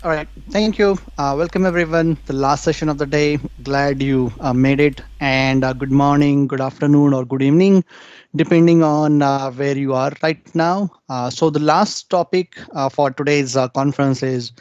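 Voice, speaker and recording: A man's voice: fairly high pitch, fairly even intonation, moderate pace, darkish tone, clean tone. A man from India introducing himself as a conference presenter on a video call, with many "uh" fillers. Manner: many "uh" fillers between phrases. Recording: dry, dull video call audio.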